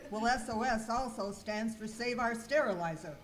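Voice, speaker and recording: A woman's voice: fairly high pitch, moderate pace, close to an everyday voice. A woman playing a confident, wisecracking cleaning superhero in an amateur comic radio skit, declaiming in mock-heroic style. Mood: defiant. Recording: clearly noisy live room recording.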